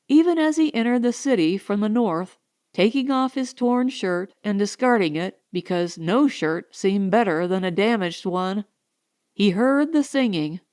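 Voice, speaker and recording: A woman's voice: mid-range voice, a little mellow, no echo, clean studio sound. A woman reading scripted story narration as an audiobook narrator.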